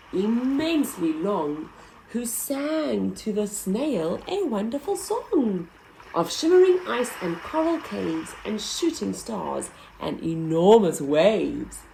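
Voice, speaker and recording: A woman reading a rhyming picture book aloud to children in a slow, sing-song way. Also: fairly low pitch, creaky, warm tone, slight background noise, rough recording. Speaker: children's story reader.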